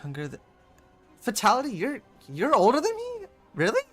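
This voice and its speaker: An eighteen-year-old man casting a competitive VR shooter match alone, rambling with lots of fillers between rounds and jumping loud and high when plays happen. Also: huge pitch swings, normal speed. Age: young adult. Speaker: esports caster. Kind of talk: solo play-by-play game commentary with viewer chat. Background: game music.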